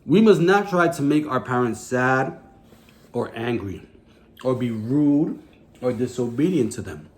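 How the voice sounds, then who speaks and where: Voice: mid-range voice, lively intonation, unhurried. A religious teacher giving children a lesson in simple phrases, asking questions and prompting them to repeat. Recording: clear background noise.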